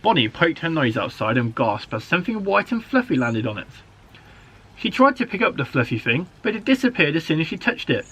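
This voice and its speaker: A man, a primary school teacher, reading a children's story aloud in an expressive, dramatic way. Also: on the high side, a little fast, slightly rough. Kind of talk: animated children's story narration.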